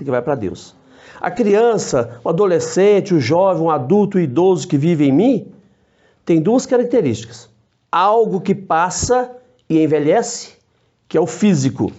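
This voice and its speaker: Brief: male priest, fairly high but mellow voice, patient teacher, dull lo-fi sound. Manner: measured, explanatory, short phrases with frequent tag questions. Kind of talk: theology teaching to camera. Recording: dull lo-fi recording.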